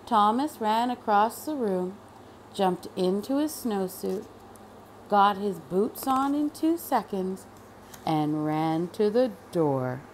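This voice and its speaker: A woman reading a children's storybook aloud expressively, with deliberate, dramatic emphasis on key words. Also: mid-range voice, animated, slight background noise. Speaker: storybook reader.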